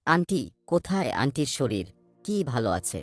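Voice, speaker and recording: A woman as a low-voiced, questioning cartoon boy. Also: sing-song, moderate pace, faint music behind, exaggerated voice. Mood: curious. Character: inquisitive young boy, sceptical friend in a cartoon. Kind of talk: Bengali cartoon dialogue.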